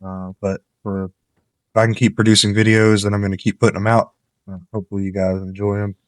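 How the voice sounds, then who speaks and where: A man giving relaxed, rambling commentary on a game he plays, with a slightly nasal voice because he is coming down with a cold. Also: lowish voice, fast, dry recording. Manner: relaxed, rambling, gives tips while playing. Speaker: gameplay commentator. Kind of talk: casual let's-play commentary.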